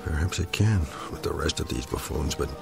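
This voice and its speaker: Creaky, pompous older man, obsessed gambler. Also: rumbling low, brisk, exaggerated voice. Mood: obsessive, defiant. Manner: pompous, formal, ranting diction. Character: obsessed, irascible older husband hooked on gambling machine. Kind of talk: radio drama acting. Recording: radio drama with music underneath.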